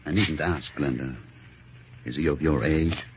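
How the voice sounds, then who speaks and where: An actor playing a controlled, resigned middle-aged husband in a measured, formal, theatrical old-time radio drama style. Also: male voice, very deep voice, husky, full character voice. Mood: bitter, resigned. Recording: dull, noisy old radio recording.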